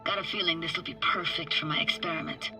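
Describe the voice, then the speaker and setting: A woman's voice: lowish voice, steady tone, fast talker, music in the background, lightly acted. An actress playing a wry, guarded young woman techie diver in a video game, with clipped, teasing, slangy phrasing. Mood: wistful, earnest. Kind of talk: video game voice acting, casual dialogue.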